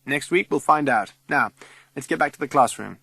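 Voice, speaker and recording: Male schoolteacher, acted by a man: excitable, emphatic, higher-pitched, lecturing a class; children's audiobook. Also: normal speed, vocal fry, husky, no room sound, exaggerated voice. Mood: enthusiastic teaching. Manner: emphatic, declaiming, instructive.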